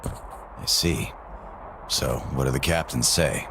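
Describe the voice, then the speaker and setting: Very deep, gravelly, laconic loner man. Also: slow pace, noisy background, full character voice. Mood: guarded. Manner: laconic, plain-spoken. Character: terse, wary, self-reliant drifter negotiator. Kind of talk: video game cutscene acting.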